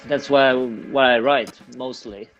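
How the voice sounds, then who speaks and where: A man's voice: mid-range voice, steady tone, slow pace, fairly bright tone, dull audio, faint music behind. A man from Sweden with a Swedish accent, a native Swedish speaker, talking casually in an interview and often repeating himself as he searches for words. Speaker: podcast guest, punk band singer.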